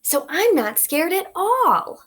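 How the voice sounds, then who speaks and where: A woman's voice: very high voice, big pitch swings, takes it slowly, fairly bright tone, a little hiss or hum, voice pushed up, exaggerated voice. Woman reading as a nervous young storybook boy. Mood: boastful. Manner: putting on confidence, stalling. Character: young boy, boastful but secretly nervous, storybook hero.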